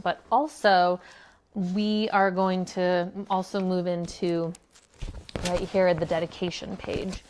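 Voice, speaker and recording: A woman hosting a casual book review, talking chattily and with enthusiasm, full of fillers and restarts. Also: medium pitch.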